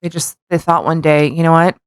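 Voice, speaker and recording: A woman's voice: low voice, flat delivery, brisk, smooth, dry recording. A woman co-hosting a podcast in casual chat, with blunt, teasing, sarcastic commentary and mocking reading aloud. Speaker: podcast co-host.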